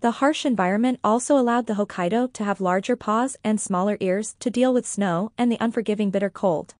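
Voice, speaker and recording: A woman reading a scripted educational podcast narration in a measured, formal manner. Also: mid-range voice, a little mellow, no echo, studio quality.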